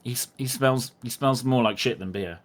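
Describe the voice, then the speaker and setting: A man's voice: mid-range voice, moderate pace, natural voice. A man playing a blunt, suspicious and exasperated town guard in a tabletop game.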